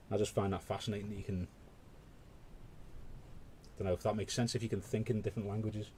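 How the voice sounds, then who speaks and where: A man's voice: lowish voice, mellow, slight background noise. A British man hosting a paint-along stream, chatting casually with rambling asides. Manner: rambling, conversational, full of asides. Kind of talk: casual chat while painting.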